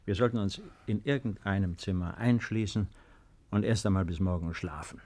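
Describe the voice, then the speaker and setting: A composed husband in an old radio drama. His voice is on the low side, measured and dry, brushing fears aside with matter-of-fact reassurance. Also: a little mellow. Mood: dismissive. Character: calm, dismissive husband reassuring a frightened woman. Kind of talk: 1950s German radio play acting. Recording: 1950s radio drama recording.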